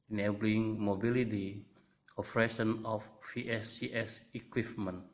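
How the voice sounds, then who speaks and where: A man with an Indonesian accent reading a scripted academic presentation in halting phrases. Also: low-pitched. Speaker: researcher presenting a paper. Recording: dull lo-fi voice-over.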